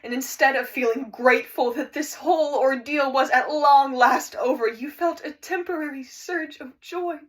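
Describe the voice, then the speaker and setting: A woman's voice: high voice, normal speed, few pauses, recorded from a distance, lightly acted. A woman performing an anguished, grieving friend in a dramatic stage monologue, telling it with emphatic, accusing weight. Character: anguished friend grieving a self-destructive alcoholic loved one. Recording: echoey room.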